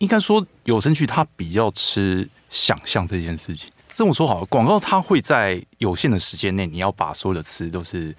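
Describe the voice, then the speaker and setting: A man with a deep voice that moves around in pitch a great deal. He has a Taiwanese Mandarin accent and chats casually as an interview guest, recorded very dry. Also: huge pitch swings, brisk, hardly any pauses, no room sound. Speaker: guest voice actor.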